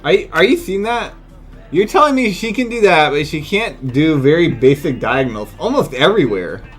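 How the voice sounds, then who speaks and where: A man's lively voice jumps around in pitch as he talks through gameplay. He sounds like a streamer in casual conversation with his chat, openly incredulous and loose with swearing. Soft music plays behind him. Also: normal speed, breathy edge. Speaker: video game streamer and speedrun analyst. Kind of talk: casual live gaming commentary.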